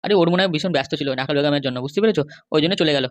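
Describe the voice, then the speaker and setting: Guy doing a henpecked west bengal husband in a cartoon, talks really really fast, wheedling and grumbling. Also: fairly high pitch, no room sound, lightly acted. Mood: earnest. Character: henpecked, pleading middle-aged husband, small-time politician. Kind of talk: comic cartoon dialogue.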